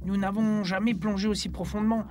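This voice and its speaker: A performer voicing a sensible, careful young adventurer in a cartoon, earnest and measured. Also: mid-range voice, little pitch movement, lightly acted. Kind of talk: cartoon dub in French. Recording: prominent music behind the voice.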